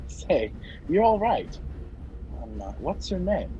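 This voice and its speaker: A man playing a polite, quick-witted fugitive wrongly on the run, in a radio play recorded over a video call. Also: sits high, sing-song, warm tone, exaggerated voice. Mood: charming, furtive. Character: hunted young man, polite, quick-talking, indignant fugitive. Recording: dull, noisy home video call.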